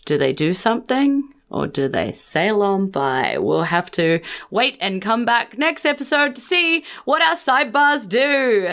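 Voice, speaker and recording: A woman's voice: a little high, big pitch swings, unhurried, few pauses, fairly bright tone. A woman narrating a tabletop game as its host, in an informal, conversational, descriptive way. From New Zealand. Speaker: game master and podcast host.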